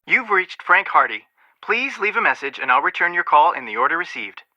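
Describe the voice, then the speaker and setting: A man's voice: a little high, big pitch swings, brisk, bright, forceful tone, dry recording, muffled recording, full character voice. An actor voicing a level-headed, protective young male amateur detective in a video game, speaking in an earnest, matter-of-fact way with dry wit. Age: young adult.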